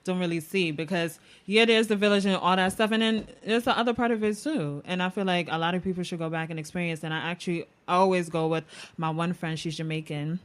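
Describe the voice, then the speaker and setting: A woman's voice: fairly low pitch, steady tone, brisk, few pauses, clear, resonant tone. Laughing, assertive woman podcast co-host. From Ghana. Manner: laughs often, teasing and assertive. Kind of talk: casual chat.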